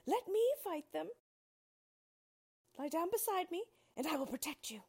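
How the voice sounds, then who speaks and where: A woman voicing a brave male straw scarecrow, using a much higher, eager voice. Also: lively intonation, a little slow, darkish tone, exaggerated voice.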